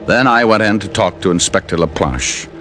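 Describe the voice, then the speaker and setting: A man's voice: low-pitched, moderate pace, fairly bright tone. An actor playing a dry, wisecracking male private detective who narrates a 1950s radio drama. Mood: wry. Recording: old radio broadcast with faint music.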